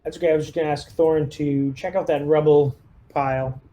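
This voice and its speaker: A man's voice: on the high side, fairly even intonation, a little fast, warm tone. A man chatting casually as a player leading a squad in a tabletop game.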